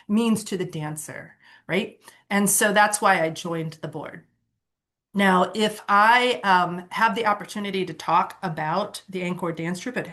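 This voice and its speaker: A woman's voice: mid-range voice, fairly bright tone. A woman from Ohio leading a nonprofit board training workshop, talking conversationally with fillers and self-corrections, and getting enthusiastic when she tells her own story. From Ohio, USA. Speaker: workshop presenter.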